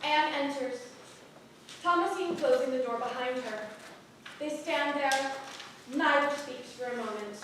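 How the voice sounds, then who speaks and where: A woman's voice: high-pitched, unhurried, pauses often, fairly bright tone. A woman narrating, reading out stage directions at a staged reading of a play. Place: indoor playhouse before an audience. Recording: very echoey theater, distant noisy mic.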